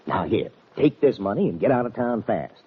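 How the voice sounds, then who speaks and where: An actor playing a scheming Western villain in an old-time radio drama, talking in a folksy, falsely fair drawl. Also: male voice, on the low side, very animated, moderate pace, breathy edge, full character voice. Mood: smooth, persuasive. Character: hard, scheming Western villain, a fatherly-sounding ranch boss. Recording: tinny, band-limited old radio recording.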